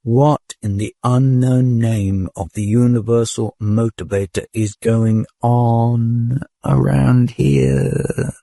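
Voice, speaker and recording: Man voicing a frightened official, shrill, urgent, raised pitch, dry. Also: slow pace, gravelly, dark tone, dry recording. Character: nervous, frightened male official.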